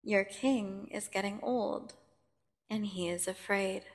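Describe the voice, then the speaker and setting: A woman playing a menacing, seductive vampire prisoner in an ASMR audio drama, taunting and condescending with theatrical pauses. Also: mid-range voice, fairly even intonation. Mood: cold, ominous. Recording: long cavernous reverb.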